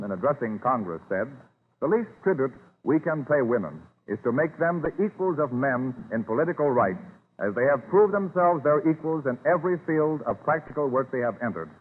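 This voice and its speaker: A man's voice: mid-range voice, husky. A man narrating a 1930s radio drama in a formal, dramatic manner. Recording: tinny 1930s radio recording.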